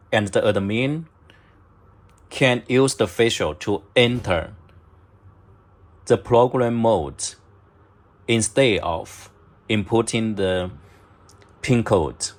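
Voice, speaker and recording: A man narrating step-by-step instructions in halting, short clipped phrases, as a product demonstrator. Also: on the low side. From China.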